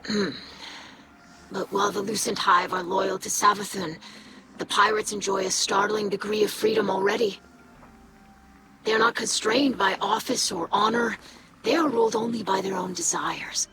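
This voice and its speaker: A woman's voice: medium pitch, steady tone, moderate pace, lightly acted. An actress playing an earnest young female alien scholar in a video game, speaking in a formal, precise way. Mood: curious, thoughtful. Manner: formal, precise wording without contractions. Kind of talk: video game dialogue acting. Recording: clearly noisy background.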